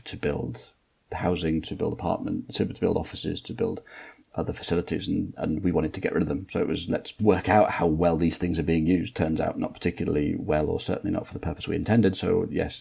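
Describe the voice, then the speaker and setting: Northern English male guest, deep husky voice, measured explainer. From Manchester, northern England. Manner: explanatory, steady, lots of fillers. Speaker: guest, transport planner. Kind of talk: podcast interview, explaining.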